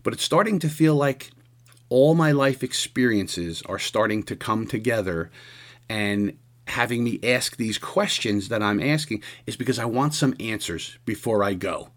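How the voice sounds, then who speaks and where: A man in his sixties hosting a radio show, musing aloud in an earnest, halting way with many restarts. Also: lowish voice, animated. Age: 60s. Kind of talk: reflective casual radio monologue.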